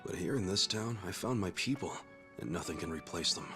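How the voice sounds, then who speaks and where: A man's voice: low voice, lively intonation, fairly quick. A man playing a loyal, earnest ex-yakuza in a video game cutscene. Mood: earnest resolve. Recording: music behind the voice.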